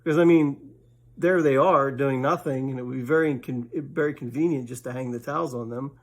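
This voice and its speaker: A man's voice: mid-range voice, fairly even intonation, a little fast, close to an everyday voice. A man voicing a fussy, cautious, polite rabbit in a bedtime storybook, proper and matter-of-fact with a stern edge. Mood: practical.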